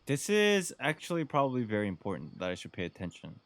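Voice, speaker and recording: Lively, slangy male game streamer. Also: mid-range voice, quick pace, no echo. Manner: slangy, reactive, exclamatory. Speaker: gaming streamer. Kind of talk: casual live game reaction commentary.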